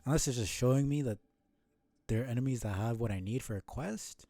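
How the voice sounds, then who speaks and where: A man's voice: mid-range voice, a little fast, mellow, no echo. A man streaming a video game with casual live commentary, musing aloud to himself and swearing now and then.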